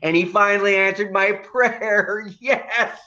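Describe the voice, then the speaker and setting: A man acting a loud, defensive, blustery bickering neighbor in a comedic play. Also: high voice, steady tone, slow, highs cut off, heavily acted. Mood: gleeful, gloating. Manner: blustery, exclamatory, profane.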